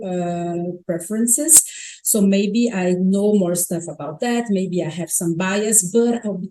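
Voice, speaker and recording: Mellow-voiced Brazilian woman giving a talk. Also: lowish voice, low-quality recording. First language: Portuguese. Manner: chatty, self-correcting, frequent restarts. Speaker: conference speaker. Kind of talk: tech conference talk. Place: conference stage.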